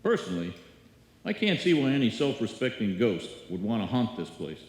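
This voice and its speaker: A man playing a gloomy, deadpan maestro who wisecracks in a live old-time radio comedy. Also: fairly low pitch, a little fast. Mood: sardonic. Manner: dry, deadpan punchlines. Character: gloomy, sardonic male maestro neighbour, wisecracking. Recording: echoey hall, live stage performance.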